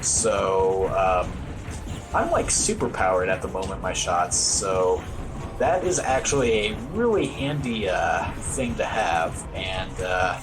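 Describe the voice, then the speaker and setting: A man's voice: low-pitched, sing-song, takes it slowly, over music. A man giving casual, wry, self-deprecating live commentary as he plays through a video game as a speedrunner. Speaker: speedrunner commentating on own play.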